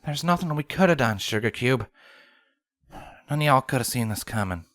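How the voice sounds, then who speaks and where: A man's voice: medium pitch, lively intonation, moderate pace, fairly bright tone, no room sound, studio quality, pitched above the performer's own voice, natural voice. Man as a folksy farm mare. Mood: reassuring. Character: folksy, reassuring female cartoon farm pony.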